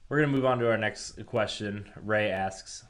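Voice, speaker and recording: A man's voice: lowish voice, moderate pace, a bit bright. A man hosting a casual gaming podcast, talking informally and reasoning things through with examples. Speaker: podcast host.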